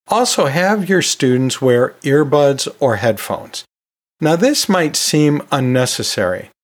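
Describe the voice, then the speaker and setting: A man hosting an instructional podcast, explaining practical tips in a conversational, informal way. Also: mid-range voice. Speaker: podcast host and college teacher.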